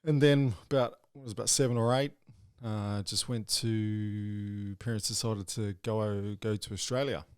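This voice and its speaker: A man with a faint New Zealand accent chats about his past on a podcast. His voice sits a little low and sounds dry and close. He hesitates and restarts often. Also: steady tone, clean tone, no echo. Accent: slight New Zealand. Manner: halting, many restarts and fillers. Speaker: podcast host. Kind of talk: casual chat.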